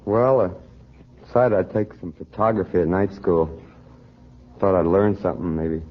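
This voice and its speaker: American man on old radio quiz, halting casual storytelling, muffled and full of noise. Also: low voice. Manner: hesitant, halting, unassuming. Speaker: quiz show contestant. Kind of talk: casual anecdotes in an interview. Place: radio studio. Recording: noisy, muffled vintage radio broadcast.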